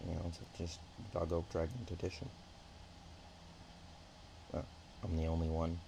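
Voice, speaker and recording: A man voicing a shy, playful young dragon-dog girl in an ASMR roleplay, speaking softly and haltingly with naive affection. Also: low-pitched, little pitch movement, gravelly, noisy background. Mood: bashful, naive. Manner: hesitant, halting, giggly, soft-spoken. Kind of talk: ASMR roleplay, one-sided intimate chat.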